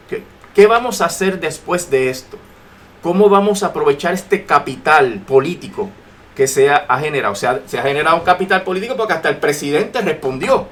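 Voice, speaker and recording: A man's voice: on the high side, fairly bright tone. A man with a Puerto Rican accent giving emphatic political commentary as a guest on talk radio, repeating phrases for weight. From Puerto Rico. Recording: noisy AM radio talk recording.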